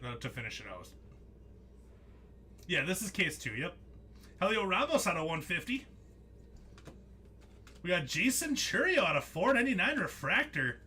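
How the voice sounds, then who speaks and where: A man's voice: a little high, sharp tone, slight background noise. A young man hosting a live trading-card break stream, chatting casually with viewers by name, laughing often as he reads out the cards he pulls.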